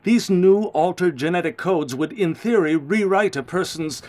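Actor as a grave, guilt-ridden scientist, higher-pitched man. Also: darkish tone, natural voice. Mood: grave confession. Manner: formal, measured, ominous. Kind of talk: radio drama acting.

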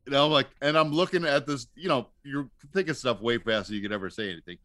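A man speaking as a podcast guest, telling stories casually in a halting, wryly self-mocking way. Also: mid-range voice, sharp tone, no room sound, studio quality. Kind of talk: casual anecdotal storytelling.

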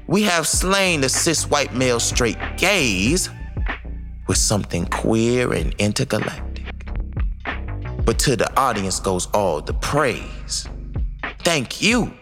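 A man playing a flamboyant space DJ emcee who performs in theatrical verse for an audio drama. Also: medium pitch. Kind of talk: theatrical emcee, rhymed recitation.

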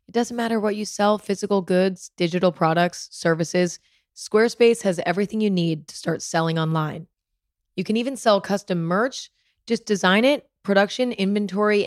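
A woman with a fairly low voice reads a sponsor ad upbeat and promotional. The recording is dry and close. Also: no echo. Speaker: ad reader. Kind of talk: scripted ad read.

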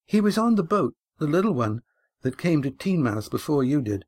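A man's voice: a little high, sing-song, moderate pace, a little mellow, pitched above the performer's own voice. A man voicing a spirited, defiant teenage village girl who speaks in an accusing, insistent way in a storybook reading.

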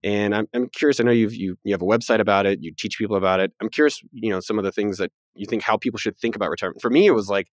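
Fast-talking, joking man, dry close sound. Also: lowish voice, dry recording. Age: 30s. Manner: quick, joking, self-deprecating. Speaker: podcast host, business founder. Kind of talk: casual podcast conversation.

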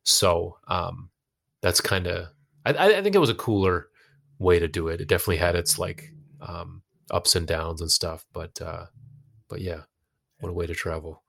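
A man with a notably low voice and an American accent speaks as a guest in a casual interview. His phrasing is informal and loose, circling back and feeling for words as he goes. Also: animated, normal speed, creaky, a bit bright. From United States. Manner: informal, hesitant phrasing with frequent "like" and "you know". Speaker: interview guest, actor and musician.

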